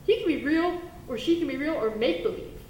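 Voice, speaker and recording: A woman voicing a cheerful, bossy puppet who teaches Bible stories to children in an exaggerated way. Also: a little fast. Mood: cheerful, playful. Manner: exaggerated, animated, talks to children. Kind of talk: children's puppet show storytelling. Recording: echoey room with low rumble and noise.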